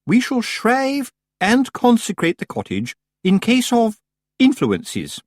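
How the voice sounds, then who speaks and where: A man voicing a pompous, falsely polite middle-aged woman witch with a high, sugary voice. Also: wildly swooping pitch, slow pace, no room sound, studio quality, heavily acted. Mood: falsely sweet. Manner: falsely polite, gushing, grave.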